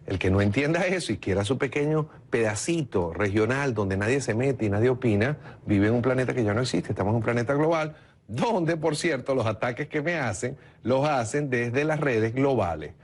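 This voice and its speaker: A man's voice: mid-range voice, lively intonation, normal speed, slightly rough. A man from Venezuela, a political consultant arguing his point insistently in a heated TV interview.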